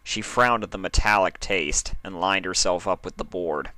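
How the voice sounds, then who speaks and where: A man's voice: low-pitched, moderate pace, pushed and bright, no room sound. A man reading scripted fiction aloud as the narrator of a story.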